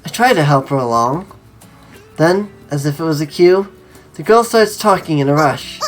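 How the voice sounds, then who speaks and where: Low-voiced woman, story read-aloud, chatty asides, faint music underneath. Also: lively intonation, moderate pace, poor audio. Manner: conversational asides. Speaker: host reading a visual novel aloud. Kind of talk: reading a story aloud with casual commentary.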